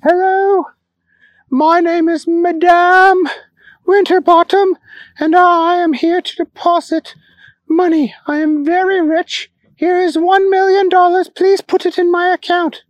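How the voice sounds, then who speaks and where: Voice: extremely high pitch, little pitch movement, slow pace, no echo, voice pushed up. A storyteller voicing a rich, haughty lady customer who speaks grandly and pompously.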